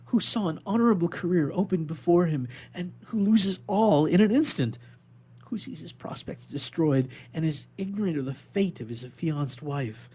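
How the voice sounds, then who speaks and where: A man's voice: a little high, mellow, slightly rough, pitched above the performer's own voice. A man voicing a desperate young sailor held prisoner, pleading earnestly and politely in a dramatic audiobook reading. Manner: impassioned, earnest, imploring, formally polite.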